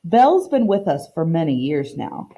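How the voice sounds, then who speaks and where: A woman voicing a kindly, proud old Southern woman in a children's picture book read-aloud, with an unhurried, folksy way of talking. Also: low voice, big pitch swings, dark tone. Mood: reminiscing. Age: older.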